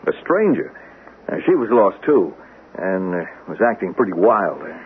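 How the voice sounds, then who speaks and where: A no-nonsense fellow in an old radio mystery, lively intonation, crackly narrow sound. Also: male voice, deep voice, moderate pace. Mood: matter-of-fact reassurance. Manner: plain-spoken, matter-of-fact. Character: matter-of-fact man searching for a missing friend. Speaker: actor. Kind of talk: radio mystery drama acting. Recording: tinny, noisy old radio broadcast.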